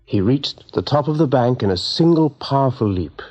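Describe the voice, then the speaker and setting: A man giving expressive, measured narration for a radio drama, with a husky voice. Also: mid-range voice. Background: soft music.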